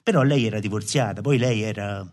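An actor playing a lovelorn, self-absorbed poet in a radio play, rambling colloquially and confidingly with a southern Italian accent. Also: male voice, fairly low pitch, a little fast, a little mellow, close to an everyday voice. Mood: wistful, confiding.

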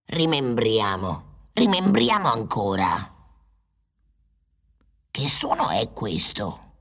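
An actor playing a wondering scriptwriter in a radio drama, with a husky voice. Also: medium pitch, wildly swooping pitch, slow pace, fairly bright tone, full character voice. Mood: musing.

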